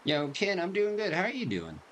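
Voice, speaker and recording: A man's voice: high-pitched, wildly swooping pitch, a little fast, a bit bright, a little hiss or hum. A man doing casual livestream game commentary, reacting with slangy, joking asides. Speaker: game streamer. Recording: game music behind the voice.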